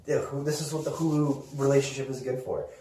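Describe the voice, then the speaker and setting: A man talks some way from the microphone in a roomy, echoing space. He sounds like an excitable show host in a casual fan chat, informal and emphatic, with a medium-pitched voice. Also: fast. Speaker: host of a pop culture video show. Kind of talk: casual enthusiastic fan discussion. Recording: roomy echo, distant mic.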